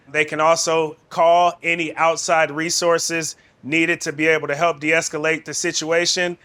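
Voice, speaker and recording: A man speaks as a trainer in an instructional film. His voice sits a little high and has a very bright, forceful edge as he lays out guidance. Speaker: de-escalation trainer.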